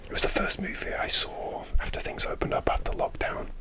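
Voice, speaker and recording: A man whispering close to the mic, telling a rambling story for an ASMR video with many pauses and fillers. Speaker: ASMR creator.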